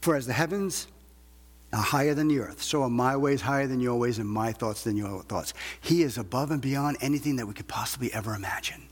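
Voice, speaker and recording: A man's voice: medium pitch, a little fast, breathy edge. A man preaching a sermon to a congregation as a preacher, emphatic, repeating phrases and asking rhetorical questions. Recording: long hall reverb.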